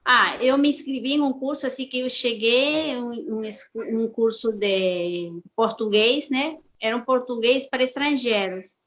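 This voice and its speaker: A woman's voice: a little high, a bit bright, slight background noise. Peruvian woman, strong Spanish accent. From Peru. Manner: unhurried, halting with restarts and repeated words. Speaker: guest. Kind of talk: casual interview chat. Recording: dull lo-fi sound.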